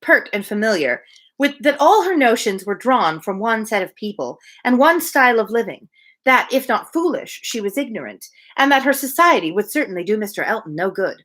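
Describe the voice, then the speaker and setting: A woman's voice: a little high, fairly quick. A woman reading a novel aloud on a livestream, breaking into playful, casual commentary. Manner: playful, animated. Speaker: reader, livestream host.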